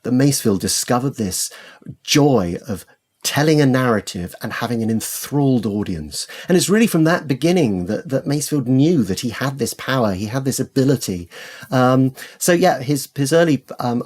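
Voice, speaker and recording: A man's voice: medium pitch, animated, moderate pace, a little mellow. A man with a British accent speaking as a scholarly interview guest, telling stories with enthusiasm and hesitant, repeated words. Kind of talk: conversational interview, storytelling.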